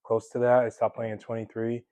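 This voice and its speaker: A man's voice: mid-range voice, completely flat delivery, a little fast, mellow, dry recording. A young man in his twenties hosting a podcast, chatting casually with lots of fillers and easy laughs. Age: 20s. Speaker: podcast host. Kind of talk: casual conversation.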